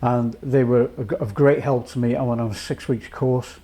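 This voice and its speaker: A man from North Wales in his late fifties, a charity chief executive giving a measured, reflective personal account with many pauses. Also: mid-range voice, level tone, mellow. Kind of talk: personal testimony to camera.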